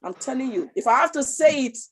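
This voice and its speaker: A woman's voice: sits high, expressive, fast, a little hiss or hum. A Nigerian woman speaking with a Nigerian accent in a casual talk show, passionate and emphatic, often repeating herself. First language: Nigerian language.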